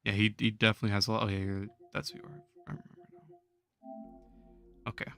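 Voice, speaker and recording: A hoarse young man losing his voice, chatting casually with viewers on a gaming livestream and chuckling often. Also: fairly low pitch, huge pitch swings, fast talker, a bit bright, faint music behind. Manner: laid-back, chuckles while talking to chat.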